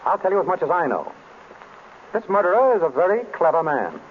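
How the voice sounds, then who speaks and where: An actor playing a suave, wry detective in an old-time radio drama, breezy, urbane and in command. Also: male voice, high voice, very animated, brisk. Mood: measured, accusing. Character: suave, wry male detective hosting a dinner of suspects. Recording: noisy, tinny vintage radio broadcast.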